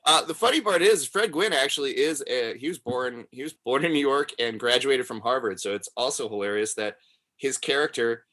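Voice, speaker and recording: A man co-hosting a podcast, chatting casually about film in an animated, readily amused way. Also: medium pitch, normal speed. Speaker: podcast co-host.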